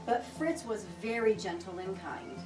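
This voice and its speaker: A woman reading a children's picture book aloud with expressive, deliberate storytelling delivery. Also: mid-range voice. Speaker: storybook reader. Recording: roomy echo, distant mic, music behind.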